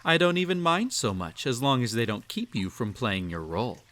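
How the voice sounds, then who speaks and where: A man's voice: mid-range voice, big pitch swings. A man playing a cruel, possessive emperor in a roleplay audio, speaking with haughty, silky condescension. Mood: amused, condescending. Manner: haughty, condescending, drawn-out teasing delivery with soft chuckles. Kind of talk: roleplay audio acting, intimate second-person monologue.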